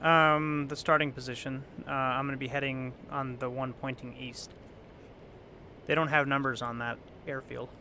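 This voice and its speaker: Hoarse, gravelly man talking through a flight. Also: medium pitch, normal speed, a little hiss or hum. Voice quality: raspy. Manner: relaxed, thinking aloud while flying. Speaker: flight sim streamer. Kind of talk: casual gameplay commentary.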